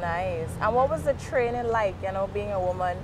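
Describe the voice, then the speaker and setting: A woman's voice: medium pitch, animated, moderate pace, low-quality recording. Chatty Guyanese woman interviewer outdoors. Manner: chatty, encouraging. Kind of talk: street interview. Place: outdoors by a street junction. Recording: outdoor street noise.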